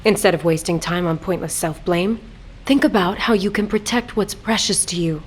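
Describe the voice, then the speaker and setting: A woman's voice: on the low side, normal speed, a little hiss or hum, natural voice. A woman playing a sly, worldly boss and tough-love mentor in a video game, teasing and commanding. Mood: firm, teasing.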